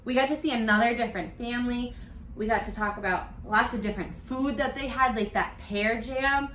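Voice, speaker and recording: A woman with a high, bright voice reads a children's story in a roomy, echoey space. She takes her time, exaggerating the expression and encouraging her young listeners with questions, as a teacher would. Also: fairly quick, a little hiss or hum. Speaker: teacher reading a picture book aloud. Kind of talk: animated children's read-aloud with questions to listeners.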